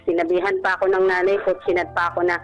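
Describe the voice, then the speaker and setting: A woman's voice: medium pitch, very animated, rapid-fire, gravelly, lo-fi sound. A woman in her thirties telling a personal story conversationally and respectfully as a caller on a radio show. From Philippines. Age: 30s. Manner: respectful, frequent polite particles. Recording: radio broadcast with music bed. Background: music.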